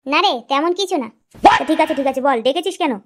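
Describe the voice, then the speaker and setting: Woman as brash, high-pitched cartoon lout. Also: fast talker, a bit bright, a little hiss or hum. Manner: crude, brash banter. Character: crude, boisterous village drinking buddies, young men. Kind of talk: Bengali comedy cartoon dialogue.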